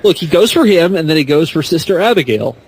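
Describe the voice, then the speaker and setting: A man's voice: fairly high pitch, expressive, quick pace, darkish tone. A man chatting casually as a podcast panelist, full of joking banter. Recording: slightly noisy amateur podcast.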